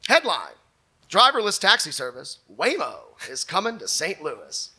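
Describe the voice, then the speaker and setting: Male comedy host, forceful sharp voice, lively swings in pitch, joking stage banter and readings. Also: moderate pace, breathy edge. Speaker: comedy show host and performer. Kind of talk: live comedy show banter and dramatic reading of comments.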